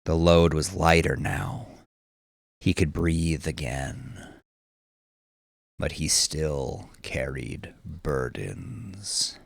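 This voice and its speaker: Deep-voiced man narrating a dark story. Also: animated, drawn-out words, vocal fry, dry recording, studio quality. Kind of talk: scripted dramatic audiobook narration. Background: background music.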